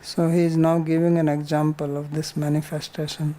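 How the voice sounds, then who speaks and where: A man's voice: fairly high pitch, monotone, a little fast, dark tone. A man with an Indian accent teaching a scripture class, explaining patiently with simple examples and repetition. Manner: didactic, repeats points, uses simple examples. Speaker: spiritual teacher.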